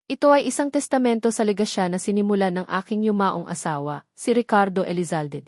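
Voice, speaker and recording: A woman's voice: mid-range voice, a little fast, darkish tone, no room sound, studio quality, close to an everyday voice. Cold, haughty rich villainess, read by a woman narrator. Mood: confident. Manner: commanding, haughty. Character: cold, arrogant, scheming rich matriarch villainess.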